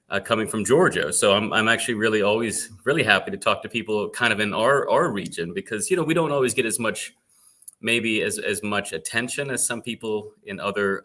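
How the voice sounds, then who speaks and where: Low bright man, halting interview host. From United States. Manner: halting, repeats words often. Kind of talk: online fireside chat interview.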